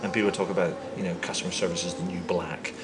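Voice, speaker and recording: A man's voice: deep voice, fairly even intonation, over loud music. A man hosting an interview podcast, friendly and chatty, asking loose, rambling questions. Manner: friendly, chatty, quick to joke and laugh. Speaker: podcast host. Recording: noisy room.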